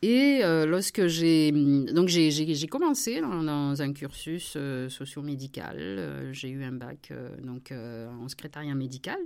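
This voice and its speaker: A middle-aged woman with a notably low voice. She answers interview questions about her life, hesitating often and restarting her sentences. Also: expressive, a little slow, creaky, few pauses. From southern France. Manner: hesitant, many fillers and restarts, warm laughs. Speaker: member of parliament, interview guest. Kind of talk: relaxed personal interview.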